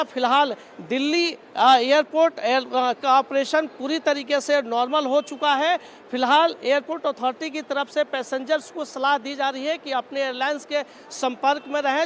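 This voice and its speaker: Very high-pitched male field reporter. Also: fairly bright tone. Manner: repetitive, semi-improvised delivery. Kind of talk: live-style on-location reporting. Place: outdoors at an airport. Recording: faint outdoor traffic noise.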